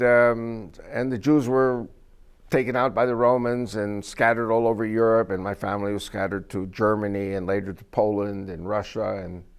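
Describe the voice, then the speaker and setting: An older man with Spanish as his first language, giving an informal, rambling talk to a small group as guest speaker and joking as he goes. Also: mid-range voice. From United States.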